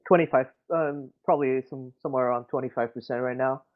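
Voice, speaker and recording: A man's voice: medium pitch, steady tone, fast. A man from Quebec City whose first language is French, speaking English with a Québécois accent in a casual, hesitant discussion full of fillers and restarts. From Quebec City, Canada. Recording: tinny voice chat line.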